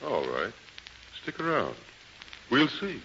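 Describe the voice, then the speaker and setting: An actor playing a shrewd, suspicious gambling-house boss in a 1930s radio drama, American, clipped and authoritative. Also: male voice, medium pitch, huge pitch swings, slow pace, pushed and bright, full character voice. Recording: noisy, dull 1930s radio recording.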